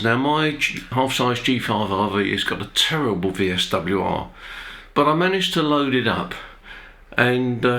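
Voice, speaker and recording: A man's voice: medium pitch, bright, forceful tone. A man with a nasal, congested voice from a cold, presenting amateur radio talk in an informal, hesitant, conversational way. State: has a cold, throat-clearing. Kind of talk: informal explanatory talk to viewers.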